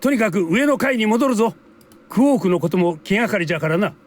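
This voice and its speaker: A man playing a gruff, impatient old man in a Japanese video game, with old-fashioned turns of phrase. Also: sits high, lively intonation, racing. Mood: annoyed, urgent. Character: gruff elderly man, impatient and worried for a child.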